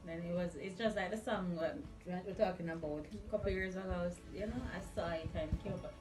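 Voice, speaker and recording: A woman's voice: fairly low pitch, fast talker, recorded from a distance. A woman with a Caribbean accent chatting casually, with emphatic interjections and affirmations. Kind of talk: casual chat. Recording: roomy echo off mic, faint music. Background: faint music.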